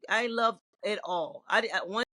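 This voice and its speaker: A woman's voice: mid-range voice, moderate pace, pushed and bright. A middle-aged woman singer from Virginia, answering interview questions in a reflective, earnest way with frequent restarts.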